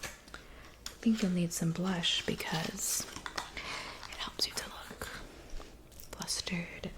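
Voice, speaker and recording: A woman playing a kindly, doting witch in an ASMR roleplay, speaking in a soft whisper with gentle, reassuring attention. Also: lowish voice, steady tone, slow pace. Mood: soothing, attentive. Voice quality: soft whisper with some creaky murmur. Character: kindly cabin witch mixing potions, doting and soothing. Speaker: ASMR performer.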